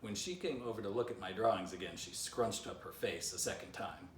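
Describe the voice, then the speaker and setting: A man's voice: on the low side, a little fast, slight background noise. Playful man reading his children's book. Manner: playful, chatty asides to young listeners. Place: living room by a fireplace, indoors. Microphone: camera a few feet away. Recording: roomy, slightly distant home recording.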